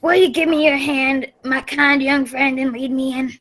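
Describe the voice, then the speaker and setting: A woman's voice: high-pitched, steady tone, moderate pace, background noise. A woman playing a menacing blind old male beggar pirate in a radio play, wheedling or sharply commanding.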